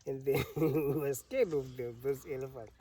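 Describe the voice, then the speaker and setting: Zimbabwean man telling his story, mellow voice. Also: mid-range voice, fairly even intonation, husky, far from the mic. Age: middle-aged. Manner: laughs often, halting, plain storytelling. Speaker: elephant carer. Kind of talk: interview storytelling.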